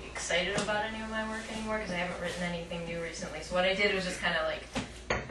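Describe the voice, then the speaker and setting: Low-voiced woman poet in an echoey, noisy room. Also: little pitch movement, quick pace. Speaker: poet, featured reader. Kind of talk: live poetry reading with casual asides. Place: live poetry night at a cafe. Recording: echoey room, distant mic, clear noise.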